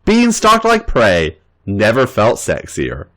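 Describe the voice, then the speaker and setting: A man's voice: on the low side, wildly swooping pitch, slow pace, a bit bright, heavily acted. A man doing an eager young male wrestler.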